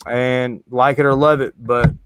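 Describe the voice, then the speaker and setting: A man's voice: mid-range voice, little pitch movement, measured pace, slight background noise. A man hosting a live sports stream in a loose, slangy, rambling way. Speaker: host. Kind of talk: casual streaming chat.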